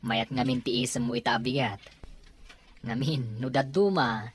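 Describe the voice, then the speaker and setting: A woman voicing an easygoing young male office friend in an audio drama, with husky, bantering, casual delivery. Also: extremely low pitch, moderate pace, a little hiss or hum. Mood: teasing. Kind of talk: Ilocano radio-style drama acting.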